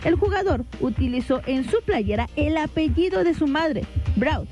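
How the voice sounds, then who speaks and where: A woman reading a sports news report in a measured, formal newsreader delivery. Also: high voice, expressive, a little mellow, soft background music. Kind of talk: scripted news narration.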